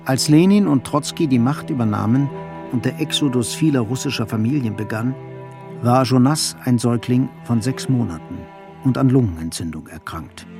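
A male narrator tells a literary story for a radio play. His voice is mid-pitched and creaky, with faint music behind it. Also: mellow.

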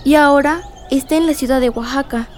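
A woman's voice: high voice, brisk, slight background noise, close to an everyday voice. A female performer playing a curious, affectionate young girl in a radio drama, asking innocent questions. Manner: inquisitive, innocent.

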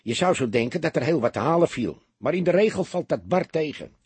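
A small-time burglar played by a male reader chatters away in a very husky, rough-edged voice. He is boastful yet jumpy and wary, with lively swings in pitch, like a storybook rogue. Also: a little fast, dry recording, full character voice. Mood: chatty, boastful. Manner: chatty, colloquial, hesitant when cornered.

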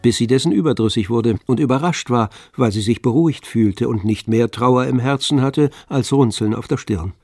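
Gravelly male radio-play narrator. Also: medium pitch, normal speed, few pauses, a little mellow, no echo, studio quality. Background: music.

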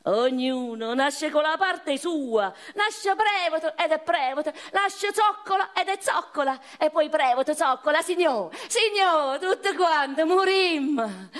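A woman's voice: high-pitched, lively intonation, takes it slowly, few pauses, voice pushed up. A woman voicing a coarse, fatalistic Neapolitan streetwalker in earthy, mocking dialect for a radio play. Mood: brash, mocking.

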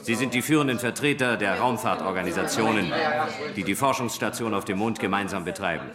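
A man's voice: on the low side, a little fast, close to an everyday voice. An actor playing an evasive, flustered official spokesman in a German radio play, stammering and repeating himself to placate reporters. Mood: official, insistent. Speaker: press spokesman. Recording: loud crowd of voices behind.

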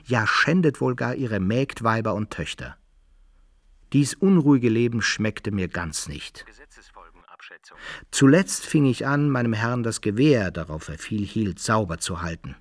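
An actor playing a wry adventurer who recounts his own misfortunes in a radio drama, a man with expressive storyteller's phrasing. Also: lowish voice, no echo, clean studio sound. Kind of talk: literary radio drama narration.

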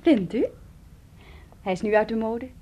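A woman's voice: a little high, a little slow, mellow, lightly acted. An actress in a radio play voicing a sweet, dreamy young woman, someone's sister. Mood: wistful, girlish. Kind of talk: radio drama acting. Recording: noisy radio drama recording.

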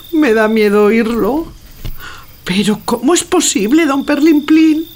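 A woman's voice: sits high, animated, takes it slowly, a little mellow, slight background noise. A woman playing an indignant, anxious, loyal housemaid in an audio drama, with an emotional, dramatic delivery. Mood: anxious, shocked. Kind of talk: theatrical audio drama acting.

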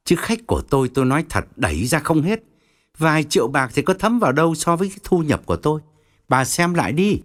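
A man's voice: a little high, very animated, quick pace, voice pushed up. A man playing a smug, brazen feng shui master who talks solemnly and threatens and boasts. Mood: boastful.

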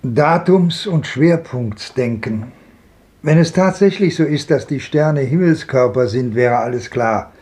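A man plays an ironic old gentleman in a 1950s radio play who declaims his thoughts about the world. His voice is mellow, mid-pitched and warm. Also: unhurried, lightly acted. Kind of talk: 1950s radio drama acting.

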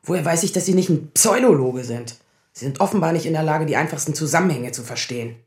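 A low-pitched woman in her thirties, a sharp-tongued and anxious lawyer in a radio drama. She is sarcastic, defensive and combative. The sound is roomy, with clear echo. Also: animated, fast talker. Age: 30s. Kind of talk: naturalistic radio drama acting.

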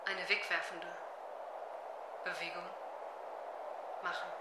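A woman's voice: on the low side, level tone, takes it slowly, very noisy. An actress in an experimental radio play, playing a detached woman who recites instructions in fragmented, repetitive phrases. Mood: detached, measured. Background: percussion, singing bowl, tuning fork.

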